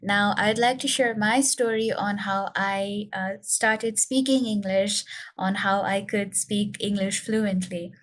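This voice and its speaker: A woman's voice: medium pitch, fairly even intonation, slow pace. A young woman from Nepal with a Nepali accent, native speaker of Newari, earnestly sharing her English learning story with many fillers. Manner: earnest, explanatory, frequent uh and um fillers. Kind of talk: prepared personal talk to learners.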